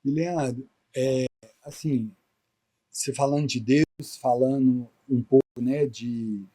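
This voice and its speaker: A man hosting a podcast interview who also works as a therapist, asking reflective, measured questions. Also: medium pitch, steady tone, warm tone.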